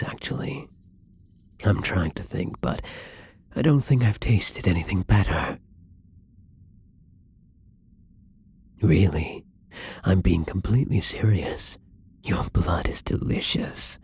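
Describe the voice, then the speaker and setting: A very husky, breathy vampire acted by a man, newly turned and tender, in an intimate ASMR roleplay. The delivery is slow and halting, with gravelly fry at the edges and soft drops into whispering. The temper is gentle, careful and unsure. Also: deep voice, heavily acted. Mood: awed.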